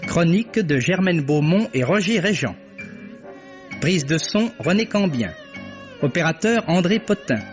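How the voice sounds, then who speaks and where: A man's voice: fairly high pitch, animated, unhurried. Man announcing credits over music. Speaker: radio announcer. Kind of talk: reading programme credits. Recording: dull lo-fi archive radio with music.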